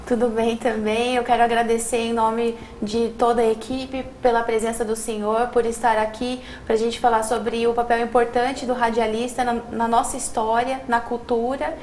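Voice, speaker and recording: A woman's voice: on the high side, flat delivery, normal speed, few pauses, darkish tone, slight background noise. A woman hosting a radio program, reading a formal introduction and then asking friendly interview questions.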